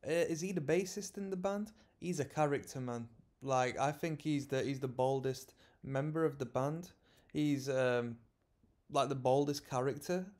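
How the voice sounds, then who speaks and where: A young man in his twenties hosting a music reaction, talking in a relaxed, rambling, slightly sleepy way from a small room. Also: mid-range voice, moderate pace. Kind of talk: casual music reaction commentary. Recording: song playing faintly behind.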